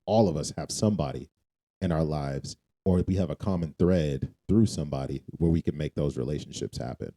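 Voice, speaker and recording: A man's voice: very deep voice, brisk, warm tone. A man co-hosting a podcast in a casual faith conversation, informal and emphatic, repeating phrases to drive them home. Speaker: podcast co-host.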